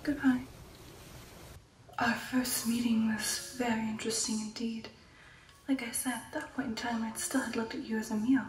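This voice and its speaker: A woman voicing a refined, affectionate female vampire in an intimate ASMR roleplay, with a breathy whisper and a genteel manner. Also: a little high, little pitch movement, normal speed, recorded from a distance, a little hiss or hum, lightly acted, low-quality recording. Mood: politely intrigued, then wistful, predatory. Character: refined, affectionate female vampire recounting an old shipboard romance. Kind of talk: ASMR roleplay, personal attention.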